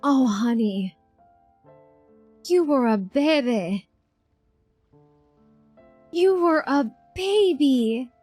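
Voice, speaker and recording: Female game streamer, highish lively voice, casual and expressive live commentary. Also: extremely slow, long pauses, breathy edge, dry recording. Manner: expressive, exclamatory, informal. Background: game music.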